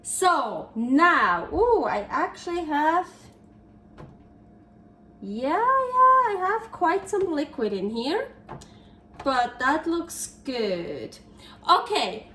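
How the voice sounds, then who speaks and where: A woman's voice: sits high, bright, forceful tone, roomy sound, distant mic. A woman from Switzerland with a Swiss German accent, chatting her way through a home cooking video and often correcting herself. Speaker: cooking channel host. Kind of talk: casual cooking vlog. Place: home kitchen.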